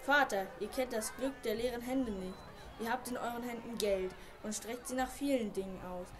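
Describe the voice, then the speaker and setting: A woman's voice: a little high, moderate pace, natural voice. A woman playing a devout, idealistic young man who embraces poverty, speaking in an earnest, declamatory way in an amateur radio play. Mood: earnest, pleading.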